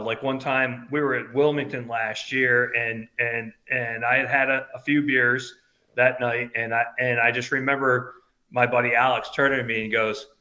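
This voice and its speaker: A man in his fifties from upstate New York, chatting casually as a podcast guest in a loud voice that projects. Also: medium pitch, rough recording. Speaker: guest, concert fan.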